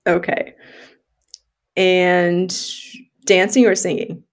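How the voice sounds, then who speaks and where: Effusive woman hosting a relaxed interview. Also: on the low side, drawn-out words. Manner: effusive, often laughing. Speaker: podcast host interviewing a guest. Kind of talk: casual conversational interview.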